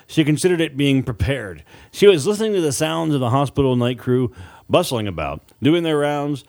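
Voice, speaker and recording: A man's voice: medium pitch, darkish tone. Man narrating an audiobook.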